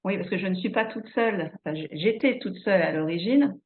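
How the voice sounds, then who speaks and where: A woman's voice: mid-range voice, lively intonation, brisk. A woman hosting a casual interview, stammering and restarting as she talks. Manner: stammering restarts, laughs easily. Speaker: host, channel founder. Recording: phone-like video call audio.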